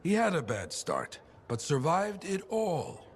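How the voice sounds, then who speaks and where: Gravelly, slow, expressive storyteller. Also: male voice, sits high, full character voice. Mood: wistful. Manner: expressive, reflective, measured. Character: wistful male storyteller, courteous, gently philosophical, grieving. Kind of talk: video game dialogue acting. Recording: clear ambient noise behind the voice.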